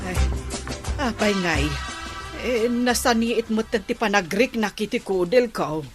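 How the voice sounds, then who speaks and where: Actress as slow-talking whiny village wife. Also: female voice, medium pitch, over music, lightly acted. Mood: distressed, whining. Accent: Ilocano. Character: vain, distressed village wife who bought skin whitener. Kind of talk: Ilocano radio comedy drama acting.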